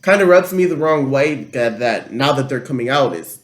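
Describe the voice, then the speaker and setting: A man's voice: medium pitch, lively intonation, normal speed. A man co-hosting a casual podcast chat, blunt and opinionated. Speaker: podcast co-host. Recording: echoey room.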